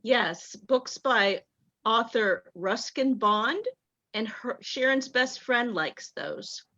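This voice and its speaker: A woman reading out a playwright's answers in an online talk back, warm and enthusiastic. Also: a little high, lively intonation, takes it slowly. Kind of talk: video call talk back. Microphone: video call. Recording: dull lo-fi call audio.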